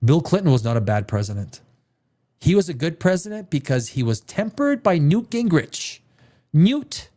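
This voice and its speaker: A man's voice: fairly high pitch, big pitch swings, normal speed, warm tone. A man hosting a livestream chat, blunt and profane, hammering his points with repetition. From Canada. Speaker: livestream host.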